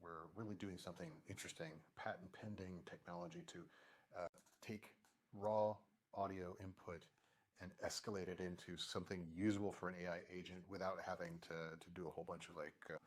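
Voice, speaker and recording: Low-pitched man giving a tech demo. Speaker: principal engineer presenting a product demo. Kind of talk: informal tech demo walkthrough.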